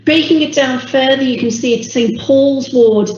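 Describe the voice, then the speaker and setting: English woman presenting data at a meeting, going hoarse, roomy lo-fi call audio. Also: high-pitched, a little mellow, a little hiss or hum. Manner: measured, with frequent ums. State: losing her voice. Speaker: public health officer presenting. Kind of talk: online council meeting briefing. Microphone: video call. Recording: echoey, dull video call audio.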